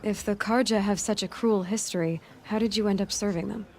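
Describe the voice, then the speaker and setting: A woman's voice: medium pitch, fairly even intonation, fairly quick, a little mellow, lightly acted. A woman playing a curious young huntress in a video game, speaking in a direct, terse, questioning manner. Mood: guarded. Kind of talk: video game dialogue acting.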